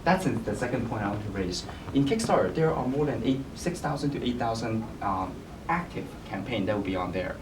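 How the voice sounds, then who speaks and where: Male panelist, animated pitch, echoey hall, mic far off. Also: fairly quick, hardly any pauses, background noise. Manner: advisory, repeats "be able to". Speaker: startup founder panelist. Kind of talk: panel Q&A answer.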